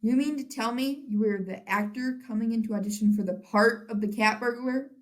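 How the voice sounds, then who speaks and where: A woman's voice: fairly high pitch, a little fast, lightly acted. A teenage girl acting a flustered, placating Hollywood film director in a school stage comedy. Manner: theatrical, placating.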